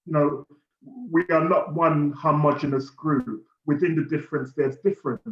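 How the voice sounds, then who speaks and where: A man's voice: fairly high pitch, fairly even intonation, normal speed. A British man speaking earnestly on a panel, repeating words as he stresses his points. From United Kingdom. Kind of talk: panel discussion. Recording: echoey, muffled room sound.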